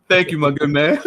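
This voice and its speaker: A man hosting a casual call-in chat, animated and laughing often, with frequent stammering restarts. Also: sits high, background noise. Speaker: host.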